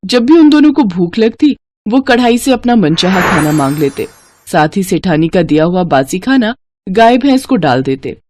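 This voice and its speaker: A woman's voice: medium pitch, very animated, moderate pace, noisy background. A woman narrating a scripted moral story as its storyteller.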